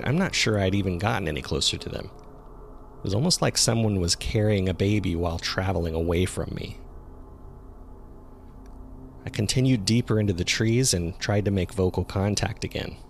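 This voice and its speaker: A man performs a male jogger recounting an eerie experience in a scripted horror story. His voice sits very low, with a heavy creak at the edges. He tells it in a measured, suspenseful way. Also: slight background noise. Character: local male jogger recounting a terrifying discovery in the woods. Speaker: narrator. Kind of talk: scripted first-person horror narration. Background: ambient sound effects.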